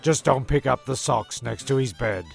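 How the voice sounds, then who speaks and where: A man's voice: mid-range voice, normal speed, gravelly. A man playing an exasperated, sweary narrator of a comic radio play, stammering and rambling over music. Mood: exasperated, deadpan. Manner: stammering, ranting, deadpan asides. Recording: prominent music under the voice.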